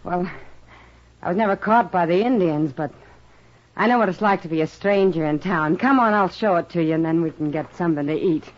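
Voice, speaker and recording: A woman's voice: lowish voice, brisk, natural voice. An actress playing a kindly, no-nonsense frontier town woman in a 1950s radio western. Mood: friendly, practical. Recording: dull vintage radio recording, faint hiss.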